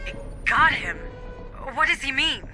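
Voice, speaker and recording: A woman playing a technically minded administrator giving brisk, matter-of-fact mission support in a video game. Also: sits high, lively intonation, bright, forceful tone, faint music behind, exaggerated voice. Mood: alarmed. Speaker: remote mission support officer. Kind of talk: video game dramatic acting.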